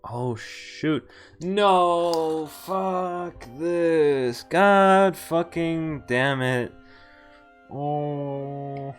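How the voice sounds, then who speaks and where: A man's voice: fairly high pitch, very slow, faint music behind. A man reading visual novel text aloud and adding casual, sweary commentary as he streams. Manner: swears freely.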